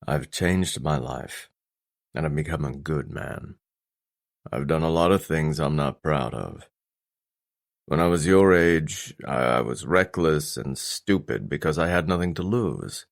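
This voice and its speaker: Male reader as stern father, middle-aged, very deep voice, grave, restrained, deliberate, audiobook drama. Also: animated, creaky, exaggerated voice. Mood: regretful, grave. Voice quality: deep, low, weighty voice. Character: stern, intimidating middle-aged father, gruff but loving.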